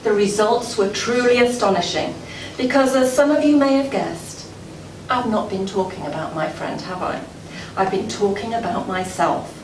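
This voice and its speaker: A woman delivering a prepared after-dinner address as club president, telling a story in a deliberate, measured way with dramatic pauses. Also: mid-range voice. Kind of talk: prepared public speech, storytelling. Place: indoor gala dinner room before an audience. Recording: echoey room, far from mic, noisy.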